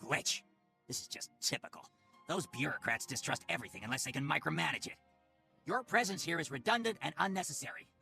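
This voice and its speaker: A man playing an officious, menacing secret police officer in a video game, curt, scornful and gravelly. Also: on the high side, lively intonation, fairly quick, sharp tone, full character voice. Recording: game music under the voice.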